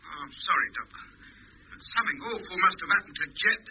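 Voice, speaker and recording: High-voiced, excitable astronaut. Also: male voice, brisk. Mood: alarmed. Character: anxious, argumentative astronaut crewman. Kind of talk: 1950s radio drama acting. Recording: dull, hissy 1950s radio recording.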